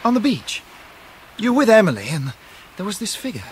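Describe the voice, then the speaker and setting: An actor playing a kindly, earnest young gentleman with a polite, gentle manner in a radio drama. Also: sits high, huge pitch swings, fairly quick, slight background noise, rough recording. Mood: concerned. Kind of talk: 1990s radio drama acting.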